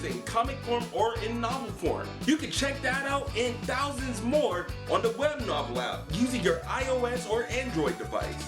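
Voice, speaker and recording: A presenter delivers a scripted ad read at an average pitch. The sound is roomy, and music plays clearly behind the voice. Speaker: sponsor presenter.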